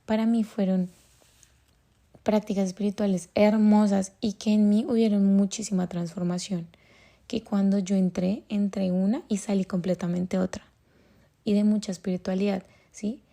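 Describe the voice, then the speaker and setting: A woman's voice: mid-range voice, little pitch movement, normal speed, vocal fry, warm tone. A young Colombian woman with a Colombian accent, hosting a podcast and telling a personal story in a rambling, casual way with many fillers. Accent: Colombian Spanish. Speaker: podcast host.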